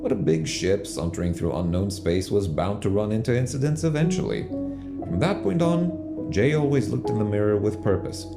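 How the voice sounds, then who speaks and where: A man narrating a scripted space audio drama in measured, literary storytelling style. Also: lowish voice, lively intonation, creaky, over loud music.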